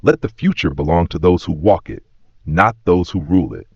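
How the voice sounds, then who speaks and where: A wise, humble smith hero of an epic audio tale, voiced by a male narrator. He speaks gently and gravely in a very deep register. The sound is roomy and a little dull. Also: animated, moderate pace, exaggerated voice. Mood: calm resolve.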